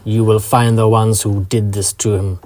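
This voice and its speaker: A man voicing a big, courteous prince consort in a story, speaking formally and deliberately. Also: fairly low pitch, level tone, darkish tone, a little hiss or hum, close to an everyday voice. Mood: grave, concerned.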